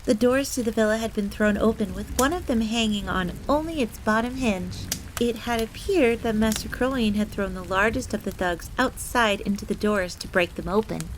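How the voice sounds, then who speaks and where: A woman voicing an excitable, devoted fox maid who tells her story theatrically in the third person. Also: mid-range voice, moderate pace, a little hiss or hum, natural voice, low-quality recording. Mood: devoted, proud. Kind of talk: in-character storytelling audio roleplay. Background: background ambience.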